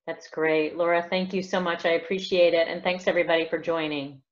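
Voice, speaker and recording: A woman's voice: lowish voice, little pitch movement, brisk. A woman facilitating a group discussion on a conference call. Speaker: meeting facilitator. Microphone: remote conference call. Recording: slightly dull conference call audio.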